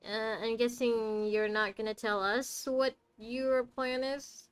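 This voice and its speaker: A woman's voice: a little high, little pitch movement, slow pace, crowd noise. A woman streaming a game, chatting and teasing the characters in casual commentary.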